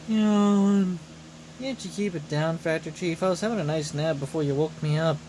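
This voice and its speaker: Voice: medium pitch, moderate pace, darkish tone, a little hiss or hum, lightly acted, rough recording. Performer putting on a playful, childish giant girl. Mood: sleepy, bored. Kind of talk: voiced game dialogue. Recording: music behind the voice.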